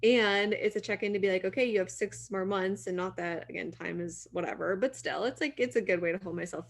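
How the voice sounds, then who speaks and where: Creaky-voiced young woman chatting casually. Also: mid-range voice, fairly quick. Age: late 20s. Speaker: podcast co-host interviewing her co-host. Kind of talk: casual podcast chat.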